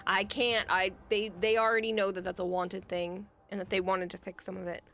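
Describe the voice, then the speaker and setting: A woman streaming a game and chatting casually, thinking aloud as she plans, her voice going hoarse. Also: mid-range voice, little pitch movement, brisk. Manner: thinking aloud, hesitant, soft at times. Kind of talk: casual game livestream chat.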